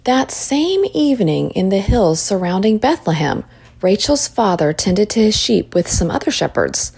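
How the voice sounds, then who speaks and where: A woman reading a children's story aloud in expressive narration. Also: mid-range voice, normal speed, gravelly, husky.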